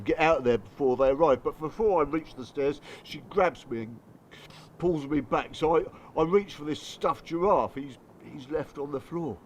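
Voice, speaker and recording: A man's voice: fairly high pitch, slight background noise, lightly acted. An actor playing a bitter, working-class English father in a radio drama, confessing his hurt in blunt, colloquial, strained speech. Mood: anguished, agitated. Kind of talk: radio drama dialogue and monologue.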